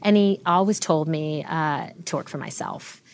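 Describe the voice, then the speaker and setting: A woman in her forties, a tech executive being interviewed, telling stories fluently and with lively restarts. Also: low-pitched, moderate pace, dry recording. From Canada. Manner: fluent, animated storytelling with frequent restarts. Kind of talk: interview conversation.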